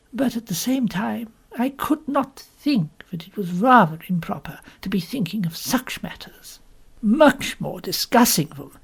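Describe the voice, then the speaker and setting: A man voicing a prim, gossipy spinster who narrates an audiobook story in fussy, measured asides. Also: high voice, vocal fry, slightly rough, exaggerated voice. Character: prim, gossipy English village spinster telling her story. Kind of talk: first-person audiobook narration.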